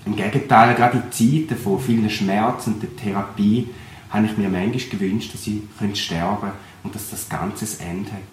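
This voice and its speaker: A young Swiss pastor with a Thurgau accent speaks in an earnest, reflective way, his voice sitting somewhat low. The recording is roomy, with a faint hum of background noise behind him. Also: fairly even intonation, moderate pace. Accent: Swiss German, Thurgau. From Switzerland. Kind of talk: personal testimony.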